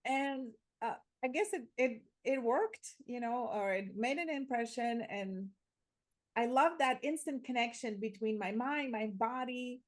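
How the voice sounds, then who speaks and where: A woman's voice: on the high side, measured pace. A woman from Slovakia, telling personal stories conversationally as an interview guest, often repeating words as she goes. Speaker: interview guest, theater teacher.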